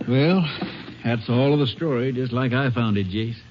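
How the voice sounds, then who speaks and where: Folksy sheriff actor, muffled noisy recording. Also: male voice, medium pitch, moderate pace, close to an everyday voice. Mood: downcast. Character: folksy small-town Texas sheriff, sober and earnest. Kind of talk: old-time radio drama acting. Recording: muffled old radio recording with noise.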